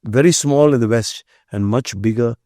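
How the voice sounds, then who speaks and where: A man's voice: medium pitch, normal speed, mellow, no echo, studio quality. A middle-aged man from India, a Tamil speaker, talking about his company as a guest with earnest, emphatic delivery. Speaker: biotech company CEO, guest. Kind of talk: conversational interview.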